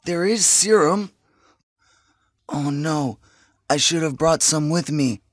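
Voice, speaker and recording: Brief: wounded male soldier, weak, pained, halting and slow, stilted retro game acting. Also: fairly high pitch, no echo, clean studio sound. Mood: pained warning. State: in pain, weak. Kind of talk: 1990s video game voice acting.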